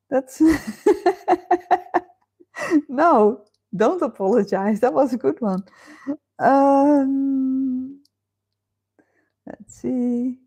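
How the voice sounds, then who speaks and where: A woman's voice: fairly high pitch, mellow. A woman with a Dutch accent hosting a casual live chat from home, reading out viewer comments and chatting with many fillers and laughs. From Netherlands. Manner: chatty, laughs often, thinks aloud with fillers. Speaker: livestream host.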